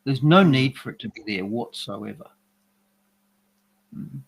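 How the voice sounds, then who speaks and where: Aussie guy with dry sarcastic delivery, measured, mid-pitch voice. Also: expressive, vocal fry, breathy edge. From Australia. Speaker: regular commentator. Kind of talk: opinionated news commentary.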